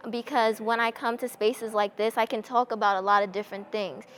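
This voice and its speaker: A young woman student speaks on a panel in an articulate, measured way. Her voice sits at a plain middle pitch. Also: steady tone. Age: young adult. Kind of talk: casual panel conversation.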